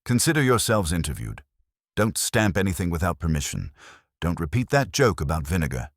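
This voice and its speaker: A man's voice: low-pitched, sing-song, fairly quick, no echo, studio quality, exaggerated voice. A man playing a wisecracking, street-smart private investigator in an audiobook, breezy and wry.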